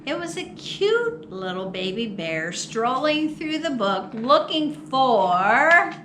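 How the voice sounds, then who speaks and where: A woman reading a children's picture book aloud as a storytime host, expressive and theatrical with drawn-out words. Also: high voice, slight background noise. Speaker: storytime host at a public library.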